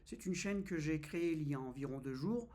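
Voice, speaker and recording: A man in his fifties from France talks to camera in a relaxed vlog. His voice sits a little high, and he often pauses, fills gaps and restarts his sentences. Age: 50s. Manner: hesitant, many fillers and restarts. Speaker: travel vlogger. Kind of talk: casual first-person vlog.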